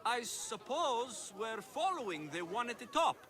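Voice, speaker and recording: An earnest ancient Athenian townsman for a video game. His voice is very high for a man and bright. He answers assertively, with lively pitch. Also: a little slow, full character voice. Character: earnest, agreeable male Athenian citizen being questioned. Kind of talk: video game cutscene acting.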